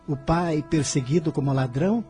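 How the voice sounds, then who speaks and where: Gentle, dutiful young son for radio play, male actor; lightly high, mellow voice. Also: moderate pace, faint music behind, natural voice. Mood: gentle, earnest. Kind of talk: radio drama acting.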